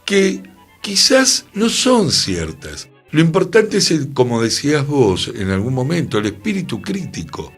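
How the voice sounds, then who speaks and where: A man with a Buenos Aires accent talking reflectively on a radio culture show, deliberate and opinionated. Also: mid-range voice, a bit bright, husky. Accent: Buenos Aires Argentine.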